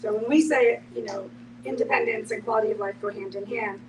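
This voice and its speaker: A woman's voice: fairly high pitch, fairly even intonation, fairly quick. A woman explaining her thoughts reflectively in a group discussion, often saying "you know" as a podcast panelist. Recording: noisy, distant microphone, background hum.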